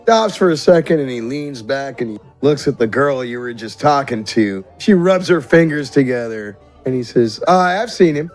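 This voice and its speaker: A man's voice: medium pitch, lively intonation, moderate pace, few pauses, faint music behind. A man running a tabletop roleplaying game as game master, improvising narration in an informal, conversational way.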